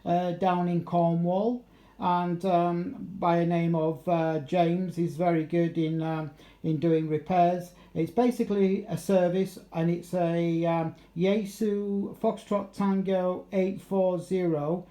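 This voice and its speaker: A middle-aged man with a fairly high, mellow voice chats casually over amateur radio. He rambles along in a chatty way and drops in radio jargon. The room around him sounds noticeably echoey. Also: flat delivery, slow. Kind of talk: casual radio contact chat.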